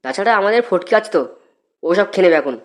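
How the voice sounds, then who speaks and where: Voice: mid-range voice, lively intonation, rapid-fire, close to an everyday voice. A performer playing a cheeky, pushy young male drinking buddy in a comedy cartoon, bantering in slang. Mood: needling.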